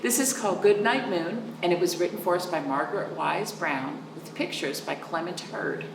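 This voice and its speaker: A woman hosting storytime for young children, reading aloud in an animated sing-song way with chatty asides to her listeners. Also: lowish voice, moderate pace, gravelly. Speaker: children's storytime host at a library. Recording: roomy, distant microphone with noticeable background noise.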